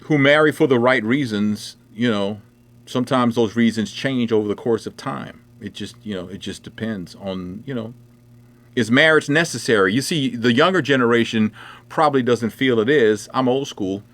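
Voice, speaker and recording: A man's voice: mid-range voice, normal speed, a bit bright. A middle-aged man chatting casually on a livestream, answering viewer comments in a rambling way with many fillers. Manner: conversational, rambling with many "you know" fillers. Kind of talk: casual chat. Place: indoors at home.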